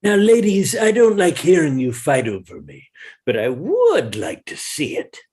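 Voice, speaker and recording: A man playing a melodramatic, smug yet cowardly cartoon animal in a theatrical, drawn-out way at a comedy table read. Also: a little high, huge pitch swings, a little mellow, slightly rough, exaggerated voice. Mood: smug, flirty.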